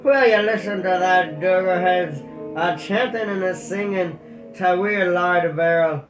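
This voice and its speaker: Man playing a stocky Highland squirrel rogue, loud and hearty, in an echoey lo-fi room. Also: measured pace, over music. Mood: jeering. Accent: Scottish Highland. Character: short stocky rough male squirrel warrior. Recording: echoey room, dull lo-fi sound.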